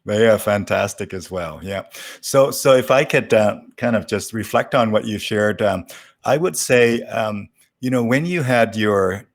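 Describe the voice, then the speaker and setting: Low-pitched man hosting, halting delivery. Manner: halting, rambling speech with many hesitations. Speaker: talk show host. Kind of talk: casual live online interview.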